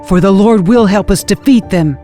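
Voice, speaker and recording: A woman's voice: mid-range voice, moderate pace, warm tone, faint music behind, lightly acted. A woman voicing a bold, devout young warrior prince in an audio scripture reading, urging and confident. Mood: rallying.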